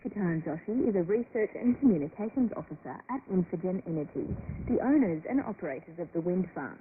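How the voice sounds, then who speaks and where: A woman's voice: on the low side, lively intonation, brisk. A woman reading a scripted news report as a radio reporter. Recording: noisy narrowband shortwave radio broadcast.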